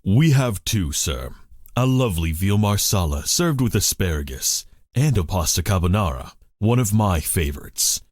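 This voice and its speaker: A man's voice: sits low, very animated, normal speed, darkish tone, no echo, clean studio sound. A man voicing a deferential, refined fine-dining waiter in a visual novel, courteous and formal. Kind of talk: visual novel character acting.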